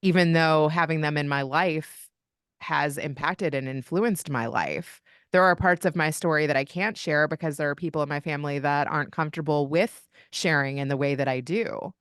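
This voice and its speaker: A woman's voice: deep voice, moderate pace, clean tone, no room sound, studio quality. A woman from Kentucky, a podcast guest, telling family stories in an open, chatty way. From Kentucky, United States. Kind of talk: casual interview conversation.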